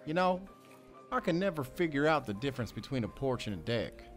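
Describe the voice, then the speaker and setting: An actor playing a wry young ranch-hand newcomer in an audio drama, rambling and hesitant in a folksy way. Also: male voice, medium pitch, big pitch swings, fairly quick, soft background music, natural voice.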